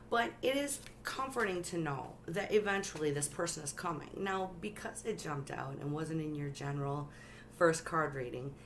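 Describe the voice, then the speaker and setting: Soft-spoken woman with a strained, low voice. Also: gravelly, a little hiss or hum. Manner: chatty and warm toward viewers, reading cards gently; says she has a loud voice but keeps it soft. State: strained voice after a coughing fit. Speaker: tarot reader hosting a live stream. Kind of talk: casual live-stream chat and tarot reading.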